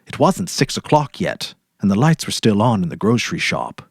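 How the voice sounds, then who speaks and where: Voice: mid-range voice, animated, normal speed, breathy edge, no echo, studio quality. A narrator giving a dramatic reading of a story.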